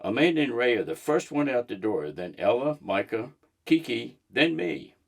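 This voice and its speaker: A man reading a children's book aloud in an expressive storybook narration style. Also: medium pitch, normal speed, gravelly.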